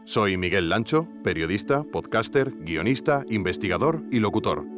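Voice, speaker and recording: A man's voice: on the low side, very animated, moderate pace, music in the background. A man narrating a scripted investigative podcast, theatrical and speaking straight to the listener. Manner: theatrical, addressing the listener directly.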